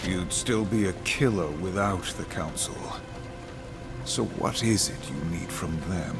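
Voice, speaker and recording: Grim angry horseman of the apocalypse for a game, lowish, solemn, few words, music behind. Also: unhurried, creaky. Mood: stern, threatening. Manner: stern, solemn, terse. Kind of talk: video game cutscene acting.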